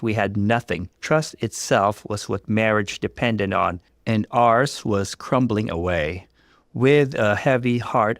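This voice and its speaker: Creaky, low-voiced man narrating a story. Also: no echo, clean studio sound. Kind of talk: dramatic scripted first-person story reading.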